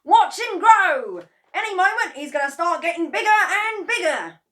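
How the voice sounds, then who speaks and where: A woman reading a children's story aloud, voicing an excitable, bossy middle-aged farmer who shouts with glee. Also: normal speed, exaggerated voice. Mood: excited. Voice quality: shouty, high-pitched and breathless with excitement.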